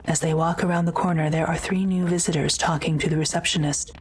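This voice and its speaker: Casting: female audio drama narrator, very low register, scripted delivery, slight background ambience. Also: steady tone, quick pace, a little hiss or hum.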